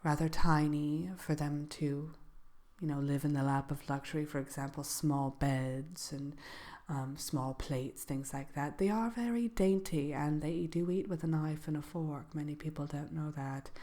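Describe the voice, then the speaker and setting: A woman playing a composed dark sorceress who gives a soft-spoken ASMR seminar in a hesitant, measured, genteel manner. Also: low voice, steady tone. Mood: composed, matter-of-fact. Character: composed dark sorceress lecturing on magical animal companions. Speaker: seminar presenter.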